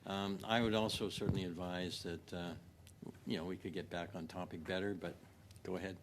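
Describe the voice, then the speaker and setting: A man chairing a formal meeting, politely admonishing in a halting, hesitant way. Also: low-pitched, fairly even intonation. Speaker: committee chair. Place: committee meeting room. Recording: clear background noise.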